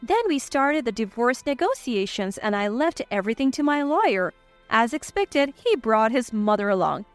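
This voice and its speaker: High-pitched, lively woman narrating. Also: moderate pace, dry recording, soft background music. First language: English. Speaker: story narrator. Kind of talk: scripted first-person story narration.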